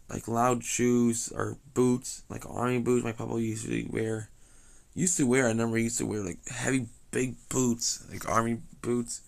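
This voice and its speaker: A young man with a slightly raspy voice telling a rambling story, full of fillers and restarts. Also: medium pitch. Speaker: vlogger.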